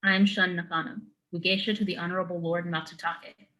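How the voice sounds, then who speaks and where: A woman's voice: lowish voice, level tone, a little fast, fairly bright tone, close to an everyday voice, rough recording. A woman playing a composed, terse female warrior in a dramatic reading, matter-of-fact and curt. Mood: composed, firm.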